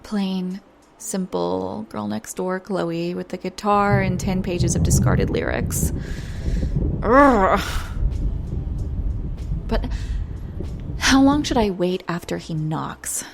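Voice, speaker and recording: A woman playing a nervous, lovesick young pop star in an intimate audio roleplay, speaking in a halting, flustered way. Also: medium pitch, over music. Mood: giddy, anxious. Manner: flustered, halting, self-interrupting, breathy sighs.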